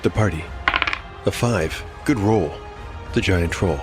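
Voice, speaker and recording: A man with a very low voice works as game master and narrator over prominent background music. He tells the fight like a dark story, then steps out with wry comments and mild exclamations about the dice. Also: slow pace.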